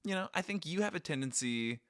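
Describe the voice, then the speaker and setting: A man's voice: on the high side, fast, no room sound, clean studio sound. A man hosting a travel podcast in casual, joking chat with his wife. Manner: playful, jokey, lively. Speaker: podcast host.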